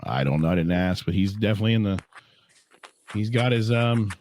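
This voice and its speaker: A man hosting a casual podcast chat, hoarse and clearing his throat often. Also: fairly low pitch, expressive, a little fast, a little mellow, a little hiss or hum. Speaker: podcast host.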